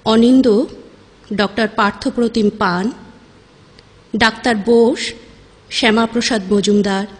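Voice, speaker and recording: Female announcer for drama credits: formal, measured, higher pitch, voice drenched in long reverb.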